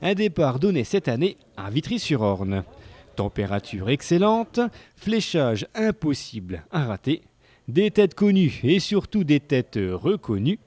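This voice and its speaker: Lively, mellow male TV reporter. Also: a little high, unhurried, no room sound. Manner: playful, tongue-in-cheek. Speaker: local TV news reporter. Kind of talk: scripted report narration.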